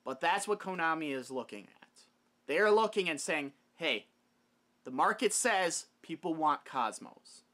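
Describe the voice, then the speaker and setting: Bright, high-voiced young man. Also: lively intonation, normal speed. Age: young adult. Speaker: video host. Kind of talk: casual opinion monologue. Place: small wood-paneled room at home.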